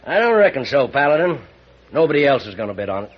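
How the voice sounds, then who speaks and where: An actor playing a bitter, greedy older rancher with an American accent and a folksy, needling drawl in a radio western. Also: male voice, mid-range voice, big pitch swings, fast talker, lightly acted. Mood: sly, scornful. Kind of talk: old-time radio western acting. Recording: muffled, noisy old radio recording.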